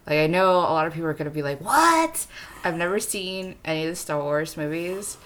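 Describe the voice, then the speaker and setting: A woman's voice: lowish voice, lively intonation, a little fast, fairly bright tone. A young adult woman co-hosting a podcast in casual chat, peppering her talk with "like" and acting out quoted reactions. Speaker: podcast co-host.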